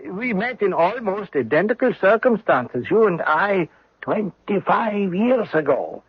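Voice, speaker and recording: An actor playing a boastful middle-aged German army captain with a German accent in a radio drama. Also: male voice, sits high, big pitch swings, unhurried, slightly rough, dry recording, full character voice. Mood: astonished recognition. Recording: muffled old radio broadcast.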